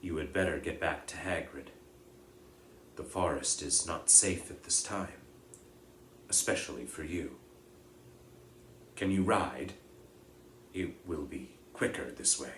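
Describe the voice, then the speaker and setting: A man voicing a calm, noble male centaur who speaks gravely and earnestly. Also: a bass voice, slow pace, pauses often, distant mic, slight background noise, heavily acted. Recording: echoey room.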